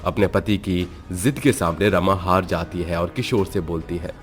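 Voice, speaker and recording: A man's voice: deep voice, fairly even intonation, normal speed, soft background music. A man narrating a scripted horror story as a storyteller.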